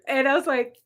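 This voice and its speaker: Woman livestream host, loud and rambling, higher-pitched casual storyteller. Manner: animated, rambling, laughing, frequent throat clearing. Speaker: livestream host, spiritual reader.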